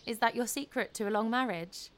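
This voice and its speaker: Woman playing a polite, gentle young girlfriend. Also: on the high side, a little fast, close to an everyday voice. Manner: polite, soothing. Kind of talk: audio play acting.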